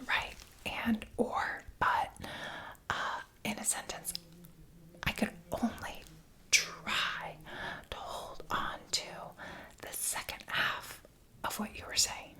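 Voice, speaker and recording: A woman's voice: fairly high pitch, bright, forceful tone. A young woman whispering a long personal story in a chatty, self-mocking way.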